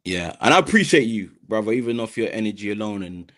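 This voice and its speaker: A man's voice: on the low side, expressive, fast, fairly bright tone. A man with a London accent, a guest on an interview podcast, talking in a hesitant way with many fillers and restarts. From South London, Nigerian heritage. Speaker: guest, mental health advocate.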